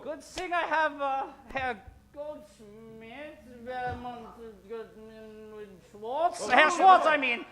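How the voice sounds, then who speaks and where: Bombastic, high-pitched radio serial villain. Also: male voice, very slow, full character voice. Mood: furious. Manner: bombastic, menacing, melodramatic. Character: raging male pulp villain who bullies his henchmen. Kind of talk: comedic old-time radio villain acting.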